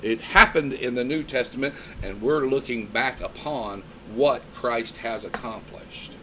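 A man in his fifties delivers a sermon at a middle pitch, moving between scripture and stories from his own life. He talks to his congregation in an easy, conversational way, with a faint hum of noise behind him. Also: unhurried, fairly bright tone, low-quality recording. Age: 50s. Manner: conversational preaching with personal stories. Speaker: preacher.

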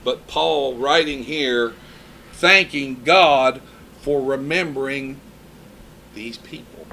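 A man's voice: mid-range voice, takes it slowly, creaky, pushed and bright, slight background noise. A man in his fifties preaching a sermon in a conversational way, mixing scripture with personal stories. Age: 50s.

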